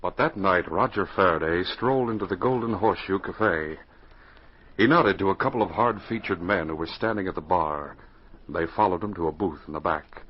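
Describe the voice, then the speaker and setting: A man's voice: deep voice, moderate pace, breathy edge. A man narrating an adventure serial in dramatic, scripted 1940s radio style, on a muffled, noisy old recording.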